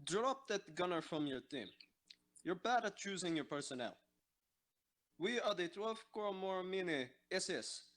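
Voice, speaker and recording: A man playing a stern, demanding tank commander, giving halting, clipped orders in an amateur audio drama. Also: high-pitched, animated, fairly bright tone, voice pushed up. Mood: stern, scolding.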